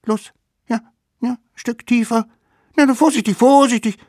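A gang of scheming little cave kids, put on by a man reading a storybook, chirps in a high, sly, wheedling voice. The pitch leaps about a lot. The sound is close and dry. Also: sing-song, measured pace, no echo, heavily acted.

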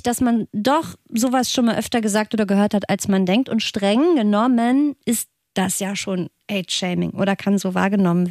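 A woman in her late thirties co-hosting a podcast, chatting in a lively, self-ironic way. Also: medium pitch, no echo, clean studio sound. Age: 30s. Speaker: podcast co-host. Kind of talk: casual podcast chat.

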